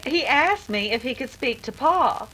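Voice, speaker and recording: Woman as a high-voiced spirited ranch girl. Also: animated, a little slow, a bit bright, a little hiss or hum, rough recording. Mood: shy, eager. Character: spirited, earnest young rancher's daughter. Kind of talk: 1930s western radio acting.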